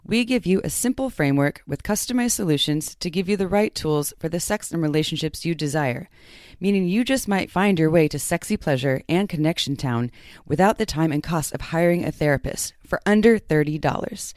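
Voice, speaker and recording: A woman's voice: sits low, expressive, brisk, a little mellow, no echo, clean studio sound. A woman hosting a podcast, speaking in a playful, informal and upbeat way. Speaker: podcast co-host. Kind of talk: upbeat promotional reading and casual podcast chat.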